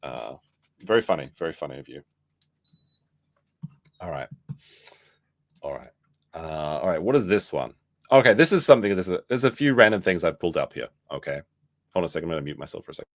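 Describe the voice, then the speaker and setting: Hoarse man with low, swinging pitch. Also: sing-song, fairly quick, long pauses, no room sound, studio quality. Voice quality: slightly hoarse. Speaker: livestream host. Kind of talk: casual commentary, reading posts aloud and reacting.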